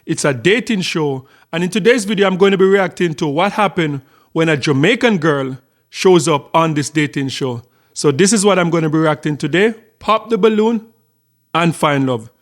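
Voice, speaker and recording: A man commenting on a dating show clip for his reaction video in a calm, explaining way. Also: a little high, moderate pace. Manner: calm, explaining step by step, some ums.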